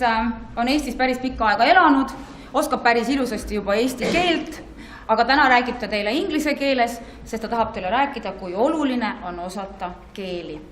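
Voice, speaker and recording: A woman formally introducing a guest speaker at a school assembly. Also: on the high side. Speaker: event presenter introducing a guest. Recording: echoey hall with faint noise.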